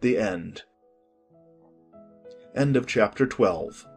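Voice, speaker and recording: A man narrating an audiobook in a calm, measured way. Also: fairly low pitch, gravelly, soft background music.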